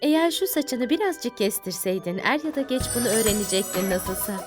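A motherly unicorn mare speaks calmly and plainly in a slightly high voice, as a woman dubs her. Music plays clearly behind her. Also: fairly quick, close to an everyday voice. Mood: matter-of-fact. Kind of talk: dubbed cartoon acting.

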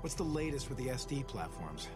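A man's voice: medium pitch, big pitch swings, brisk, loud music behind the voice, close to an everyday voice. An actor playing a tough, determined young male soldier in a video game cutscene. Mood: serious, restrained.

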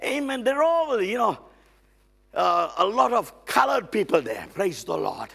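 A middle-aged man preaching a lively sermon as a guest on a church stage, loud and excitable, with frequent amens and hallelujahs. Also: high voice, unhurried, gravelly, slightly rough. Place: church stage with band instruments.

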